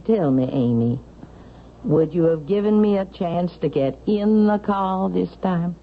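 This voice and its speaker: An actress playing an imperious, suspicious elderly widow with a haughty, deliberate, sharp-tongued delivery in a 1970s radio drama. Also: female voice, fairly low pitch. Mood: cold suspicion. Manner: haughty, deliberate, pointed questioning. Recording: dull, hissy vintage radio recording.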